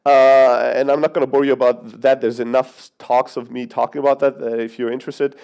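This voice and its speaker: A man's voice: mid-range voice, little pitch movement, fairly quick, vocal fry, darkish tone, clear, resonant tone. A man in his thirties from Greece, raised in Germany, giving a brash, joking conference keynote with the odd swear. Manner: brash, profane, joking with the audience. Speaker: conference speaker. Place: conference hall.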